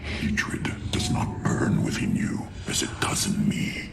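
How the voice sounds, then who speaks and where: A man playing a bitter, haughty alien warlord in a video game, with a gravelly voice and disdainful, menacing theatrical delivery. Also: slow, whispered, close to an everyday voice. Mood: bitter, vengeful. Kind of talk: dramatic video game acting. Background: music.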